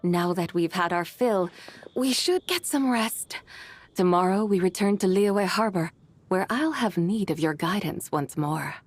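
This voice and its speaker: Serene, courtly immortal lady. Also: on the low side. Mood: gently musing. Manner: formal, measured, poised. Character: serene, gracious ancient immortal woman, wise and courtly. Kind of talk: video game voice acting. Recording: background music.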